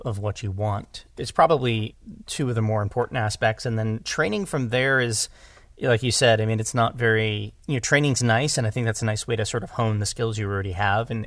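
A man's voice: lowish voice, dry recording. A man in a casual discussion as a podcast guest, thinking aloud and often repeating his words. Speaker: podcast guest, audio drama director.

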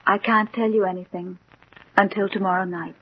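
An actress playing a mysterious, composed woman with a measured, beguiling manner in a 1940s radio mystery. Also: medium pitch, steady tone, husky, close to an everyday voice. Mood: composed, enigmatic. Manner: measured, enigmatic, gently insistent. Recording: thin, muffled 1940s radio recording.

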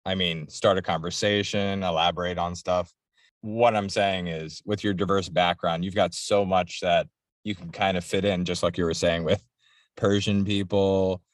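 Male podcast host, very low voice, dry room, meandering casual questions. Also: dry recording. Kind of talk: casual interview chat.

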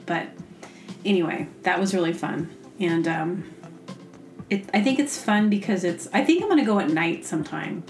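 A woman's voice: fairly low pitch, lively intonation, normal speed, faint music behind. A woman chatting casually as a podcast host, telling rambling, self-deprecating stories and acting out her own reactions. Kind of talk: casual comedic storytelling. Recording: roomy, echoey sound.

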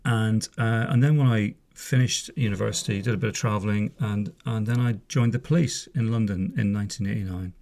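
A man's voice: on the low side, fairly quick, hardly any pauses, a little mellow. A man in his fifties from Northern Ireland, a former police officer hosting a podcast, talking casually and wryly with frequent asides. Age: 50s. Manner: chatty, wry, wanders off on asides. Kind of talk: casual spoken monologue. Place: home room.

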